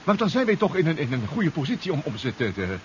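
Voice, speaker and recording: An actor playing a hesitant, courteous professor who stammers politely and fussily in a 1970s Dutch sci-fi radio play. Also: male voice, a little high, big pitch swings, fast. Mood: nervous. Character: hesitant, courteous male professor piloting a submarine. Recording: dull, hissy 1970s radio recording.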